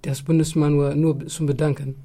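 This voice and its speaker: Steady, flat-voiced man speaking learner German. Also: fairly high pitch. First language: Tigrinya. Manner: careful, steady, slightly halting. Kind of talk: interview answers in learner German.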